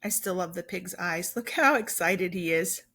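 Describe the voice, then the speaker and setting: A woman's voice: lowish voice, normal speed, a bit bright, slightly rough. A woman teacher reading a children's story aloud, explaining simply and with emphasis as she goes.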